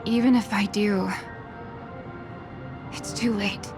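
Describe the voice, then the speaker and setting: An actress voicing a weary, resigned young brother giving quiet replies in a game cutscene over music. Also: female voice, medium pitch, fairly even intonation, slow pace. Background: score music.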